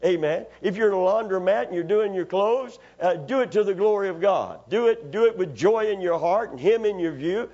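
A man's voice: high voice, animated, a little fast, few pauses, warm tone. Man, pastor, sermon, call-and-response, asks for amens, dull lo-fi church recording. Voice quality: raspy after Sunday preaching. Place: church sanctuary.

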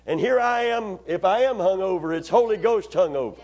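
A higher-voiced male pastor preaches in a church, calling out for amens and drawing the congregation into his message. The recording sounds dull and lo-fi, as though captured on older church equipment. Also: lively intonation, brisk, a little mellow. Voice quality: raspy after Sunday preaching. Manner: call-and-response preaching, asks for amens. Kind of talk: sermon. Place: church sanctuary.

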